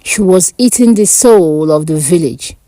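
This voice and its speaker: Woman, dark folk tale narration, lively swooping pitch, slow dramatic delivery, mellow tone. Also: dry recording. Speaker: storyteller. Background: background music.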